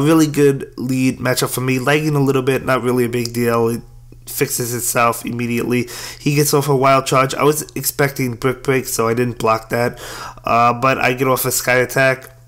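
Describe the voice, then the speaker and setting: A hoarse man who is losing his voice gives casual, apologetic play-by-play commentary on his own game matches. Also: mid-range voice, a bit bright. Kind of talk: gameplay commentary.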